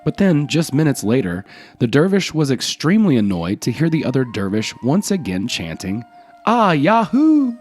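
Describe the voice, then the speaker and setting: A man's voice: mid-range voice, sing-song, moderate pace, darkish tone, breathy edge, faint music behind. A man narrating scripted folk tales as a storyteller.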